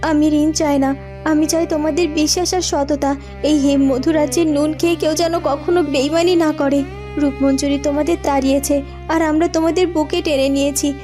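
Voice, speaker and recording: A woman's voice: high voice, steady tone, normal speed, music in the background, lightly acted. A woman playing a compassionate but stern young princess in a fairytale cartoon, with a solemn, declamatory delivery. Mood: firm. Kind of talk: children's fairytale cartoon acting.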